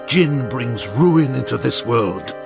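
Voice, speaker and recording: An actor playing a stern, preaching brother who condemns drink, in a slow, grave, sermonizing manner. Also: male voice, medium pitch, animated, gravelly. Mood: solemn. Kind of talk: radio drama acting. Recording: music playing loudly behind.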